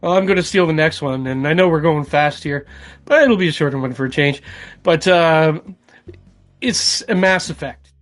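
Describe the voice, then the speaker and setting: A man's voice: on the high side, normal speed, soft background music. A man co-hosting a casual chat about video games. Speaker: podcast co-host.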